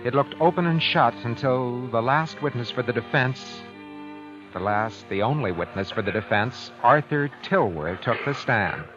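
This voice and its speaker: An actor playing a cagey, calculating husband accused of murder, narrating and speaking in old radio suspense style, deliberate but halting under questioning. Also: male voice, mid-range voice, expressive, close to an everyday voice. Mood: measured, brooding. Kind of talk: 1940s-50s radio suspense acting, first-person narration. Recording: muffled vintage radio recording, music behind.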